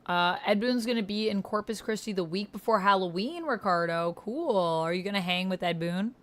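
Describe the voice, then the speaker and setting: A woman's voice: mid-range voice, moderate pace. A woman hosting a livestream, chatting informally, thinking aloud and reading on-screen text. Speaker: livestream host and player.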